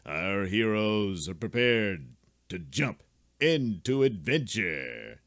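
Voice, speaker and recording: A man's voice: fairly low pitch, very animated, takes it slowly, fairly bright tone, dry recording, clean studio sound. A man giving theatrical, scripted narration for an adventure serial. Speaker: narrator and voice performer.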